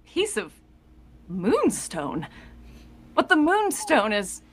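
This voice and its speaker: A woman playing a warm-hearted, inquisitive young heroine in a live table read, with an expressive, questioning manner. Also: a little high, very slow, full character voice. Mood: puzzled.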